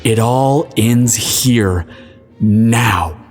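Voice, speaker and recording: A man's voice: medium pitch, drawn-out words, a little mellow, faint music behind. A man playing a proud, indignant elder crown prince in a fantasy audio drama, speaking formally and haughtily. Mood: stern, proud.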